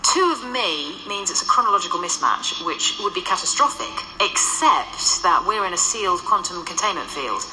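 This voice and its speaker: An actress playing the ghost of a centuries-old nun in a radio drama, dry, sardonic and ruthless. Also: female voice, on the low side, normal speed, hardly any pauses, echoey room, faint music behind, heavily acted, low-quality recording. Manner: dry, sardonic, explains calmly.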